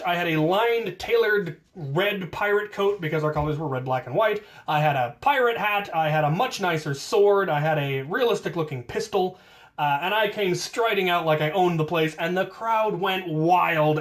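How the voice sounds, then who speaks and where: An American man casually telling stories from his own life, with playful self-deprecation and drawn-out emphasis on key words. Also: fairly high pitch, fairly bright tone. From United States. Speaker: storyteller, host of a hobby channel. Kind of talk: casual autobiographical storytelling.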